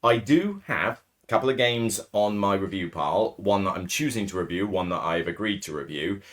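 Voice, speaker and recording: A man with a raspy, hoarse voice, hosting a solo podcast in casual, rambling talk full of fillers and self-corrections. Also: fairly low pitch. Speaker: podcast host. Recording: distorted, too-loud mic.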